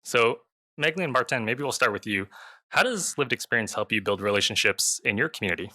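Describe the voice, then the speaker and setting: A man's voice: mid-range voice, lively intonation, a little fast, sharp tone. A man moderating a panel, giving a prepared introduction to an audience.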